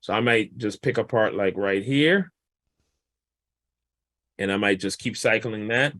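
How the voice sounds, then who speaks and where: A man, a guest mix engineer, casually walking through a vocal mixing session in a relaxed, explanatory, colloquial way. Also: fairly low pitch, measured pace, no room sound, studio quality.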